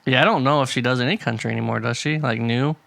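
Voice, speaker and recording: Man, podcast co-host, relaxed chatty delivery, dry close mic. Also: mid-range voice, quick pace, vocal fry, no echo. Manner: chatty, hedging, laughs often. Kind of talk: casual chat.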